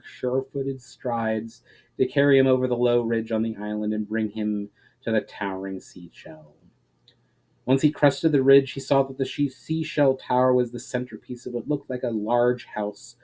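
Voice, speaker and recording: A man narrating a fantasy story as a dramatic reading, letting his phrases trail off softly at the end. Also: medium pitch, poor audio.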